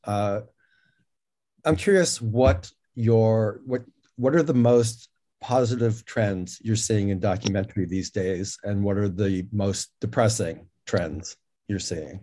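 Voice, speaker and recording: A man's voice: fairly low pitch, slow pace, mellow. A man moderating a conversation, putting unscripted questions to his guests.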